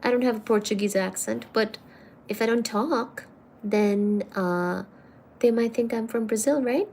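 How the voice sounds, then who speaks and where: A woman's voice: fairly high pitch, unhurried, a little mellow, slight background noise. A woman hosting a livestream, chatting casually and teasingly as she reads out viewer comments. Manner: playful and teasing, calls viewers darling. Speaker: livestream host.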